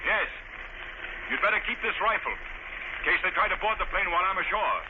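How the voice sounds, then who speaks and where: An actor playing a resolute gentleman adventurer in an old radio drama, composed, clipped and decisive. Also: high-pitched, expressive, brisk. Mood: calm, resolute. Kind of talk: 1940s radio drama acting. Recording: tinny, noisy old radio broadcast.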